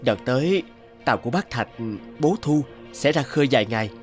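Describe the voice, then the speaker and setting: A man's voice: medium pitch, animated, fairly quick, natural voice. A man voicing an evasive young fisherman husband in a cartoon, speaking hesitantly, with music behind. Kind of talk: animated folk-tale dubbing. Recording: music under the voice.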